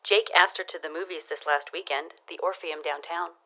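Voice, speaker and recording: A low-pitched female gossip in a period audio drama. She is arch and knowing, dishing local romance news with sly, teasing relish. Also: fairly even intonation, normal speed, sharp tone, heavily acted. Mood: wry, amused.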